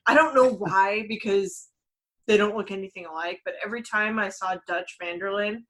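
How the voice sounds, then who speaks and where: A woman's voice: mid-range voice, moderate pace, fairly bright tone, low-quality recording. A woman talking casually as a panelist on a podcast. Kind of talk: casual chat.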